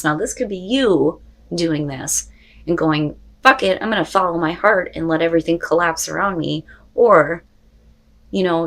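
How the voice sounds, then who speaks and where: A woman hosting an unscripted tarot reading, talking straight to viewers in an expressive, conversational way with rhetorical questions and salty language. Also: low voice. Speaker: tarot reader and host.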